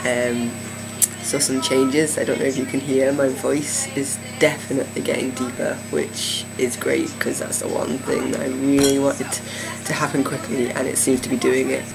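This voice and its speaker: A man's voice: mid-range voice, normal speed, gravelly, music in the background, low-quality recording. A man giving a casual video diary update, talking hesitantly with many fillers and restarts. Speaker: vlogger. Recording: steady air conditioning hum.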